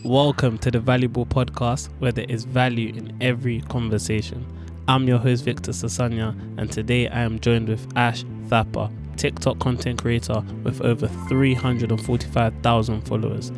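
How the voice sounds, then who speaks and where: Flat-voiced male podcast host over music. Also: mid-range voice, moderate pace. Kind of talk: podcast interview. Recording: music under the voice.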